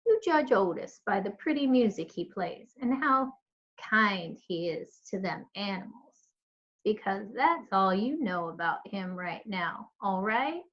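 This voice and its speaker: A kindly older woman from a storybook , as a female reader puts her on, dispensing folksy, gently admonishing wisdom. She takes her time over the words, and the recording sounds dull and lo-fi. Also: medium pitch, lively intonation, unhurried, close to an everyday voice. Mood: gently advising.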